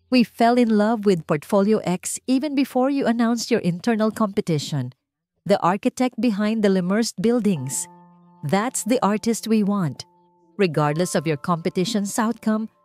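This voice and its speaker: A woman's voice: on the high side, a little mellow, no room sound, pitched above the performer's own voice. Enthusiastic corporate executive, played by a woman narrator, emphatic and businesslike, romance storybook, light music underneath. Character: enthusiastic corporate vice president writing to a friend.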